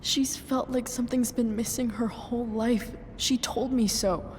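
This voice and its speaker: A young woman playing an earnest, grieving teenage girl, blunt, halting and sincere, in a dramatic video game cutscene. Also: on the high side, steady tone, darkish tone, in a large hall, a little hiss or hum, close to an everyday voice. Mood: earnest pleading. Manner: halting, sincere, sometimes profane.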